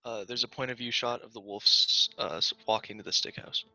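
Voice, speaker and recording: A man's voice: medium pitch, fairly even intonation, fairly quick, gravelly, bright, forceful tone, dry recording. A man reading screenplay shot directions aloud at a table read, over muffled video-call audio.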